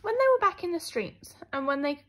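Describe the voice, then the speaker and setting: Animated, high-pitched woman reading a story. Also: brisk, a little hiss or hum. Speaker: primary school teacher. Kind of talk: expressive children's story reading.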